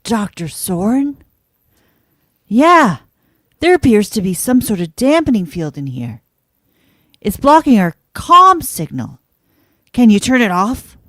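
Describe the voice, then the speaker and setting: A woman's voice: a little high, big pitch swings, takes it slowly, pauses often, fairly bright tone, no echo, clean studio sound, exaggerated voice. A woman playing an earnest, practical male starship engineer in an emphatic sci-fi radio theatre read. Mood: concerned, practical.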